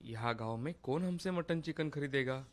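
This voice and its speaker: A man voicing a humble, poor village father turned food seller, polite and deferential, in an animated moral story. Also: medium pitch, normal speed, natural voice. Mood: worried.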